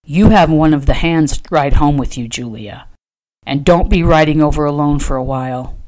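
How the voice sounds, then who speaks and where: A woman's voice: low voice, normal speed, a little mellow, lightly acted, low-quality recording. A woman voicing a stern, protective male rancher from a Western romance, speaking tersely in orders. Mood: commanding.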